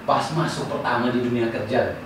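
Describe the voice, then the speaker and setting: Casual host in an echoey room. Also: male voice, medium pitch, fairly even intonation, moderate pace, slight background noise. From eastern Indonesia. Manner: leading questions, frequent fillers and restarts. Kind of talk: casual group chat. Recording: echoey room, mic at a distance.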